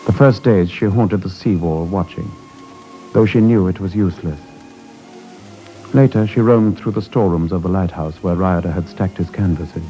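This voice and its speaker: A British man narrating a solemn wartime tale in measured, literary style for a 1950s radio drama. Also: low-pitched, dark tone, lo-fi sound, close to an everyday voice.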